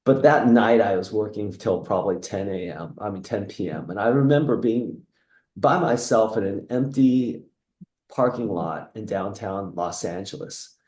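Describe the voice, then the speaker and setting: A man's voice: lowish voice, moderate pace, echoey room. A middle-aged man from the United States, a guest talking casually and reflectively in an interview. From United States (Seattle; born in Taiwan). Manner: reflective, rambling with restarts and soft laughs. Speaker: guest, entrepreneur.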